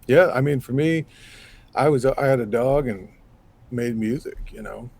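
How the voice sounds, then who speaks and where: A man in his thirties from New York State with a low, raspy voice, a musician guest talking casually in an interview, animated and rambling with lots of fillers. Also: a little slow.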